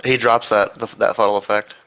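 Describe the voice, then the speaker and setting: Bright-voiced man narrating a roleplay. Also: lowish voice, flat delivery, a little fast. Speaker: roleplay game player. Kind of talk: improvised third-person narration of a tabletop roleplay.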